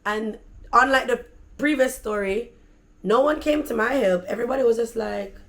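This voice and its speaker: A woman with a Jamaican accent and a deep, raspy voice, telling stories in a chatty, animated vlog. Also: moderate pace. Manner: animated, chatty, slips into patois. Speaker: vlogger. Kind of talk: casual storytelling.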